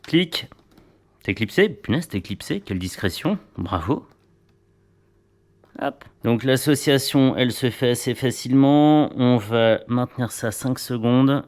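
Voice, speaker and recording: A man's voice: mid-range voice, animated, unhurried, a little mellow. A man reviewing motorcycle gear in a casual, chatty way, with playful little asides.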